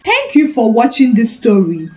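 A woman narrates a scripted story in a high voice. Her intonation is very lively, moving widely up and down as she tells it. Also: moderate pace, faint music behind. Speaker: storyteller and channel host.

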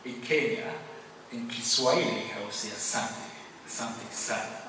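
A man from Cameroon, a native Yemba speaker, giving an earnest, grateful award acceptance speech with measured pauses. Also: mid-range voice. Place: large hall. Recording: echoey hall, distant mic, noisy.

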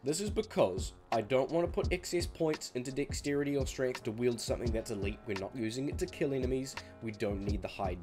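A young man with a croaky voice, casually walking viewers through a game build and swearing freely. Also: medium pitch, a little fast, hardly any pauses, music in the background. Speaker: gaming streamer. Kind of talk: casual game guide walkthrough. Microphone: headset mic at a desk.

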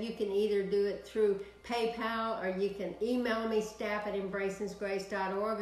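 A woman's voice: medium pitch, echoey room, low-quality recording. An older woman with a Texan accent, preaching to an online audience from a home office. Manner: animated, preaching with sweeping hand gestures. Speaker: minister. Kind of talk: prayer broadcast sermon.

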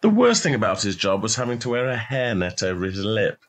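A man narrating a short story in a measured, expressive literary reading style. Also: fairly low pitch.

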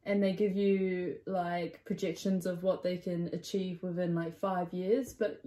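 A woman's voice: fairly low pitch, level tone, a little slow, mellow. A woman co-hosting a casual vlog, chatty and full of fillers, filmed on a phone at arm's length on a foggy country road. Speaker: co-host. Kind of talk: casual chat. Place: foggy rural road outdoors.

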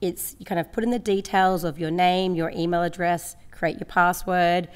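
A woman in her late thirties with a slightly croaky voice, giving a conversational, upbeat workshop talk from a lectern microphone in a large school hall. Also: fairly low pitch, steady tone, moderate pace. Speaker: company representative giving a workshop. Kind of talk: presentation to parents and teachers.

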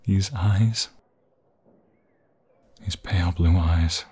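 A man playing an anxious, tender young vampire fiancé in an intimate ASMR roleplay, halting and emotional, often dropping into a breathy whisper. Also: deep voice, steady tone, a little mellow, a little hiss or hum. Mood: tender, earnest.

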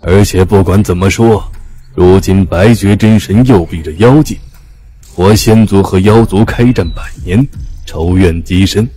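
An ancient immortal master in a fantasy audio drama. His voice is very low and soft-edged, full of an old man's grumbling and fretting, with a playful streak. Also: a little slow. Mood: wry, worried. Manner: sighing, grumbling.

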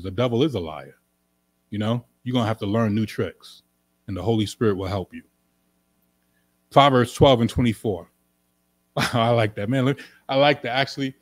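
A middle-aged man, a pastor, teaching from the Bible in an emphatic sermon style, repeating his points with animated gestures, recorded in a small indoor studio on a desk stand mic. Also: medium pitch. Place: small indoor studio room with couch and curtain. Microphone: desk stand microphone.

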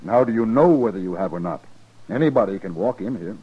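Husky, stern army major. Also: male voice, on the low side, expressive, fast talker. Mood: insistent, suspicious. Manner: clipped, insistent, suspicious. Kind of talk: 1950s radio western acting. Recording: dull 1950s radio recording.

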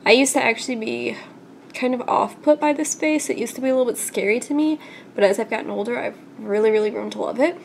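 A woman's voice: a little high, fast talker, gravelly, slight background noise. A congested young woman chatting enthusiastically as she reviews a collectible doll in a casual vlog. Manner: chatty and enthusiastic, with frequent fillers. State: congested from a recent illness.

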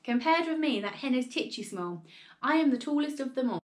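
A woman voicing a bossy, boastful old grandmother in a children's storybook, calling out loudly in a crowing singsong. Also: fairly high pitch, expressive, moderate pace, close to an everyday voice.

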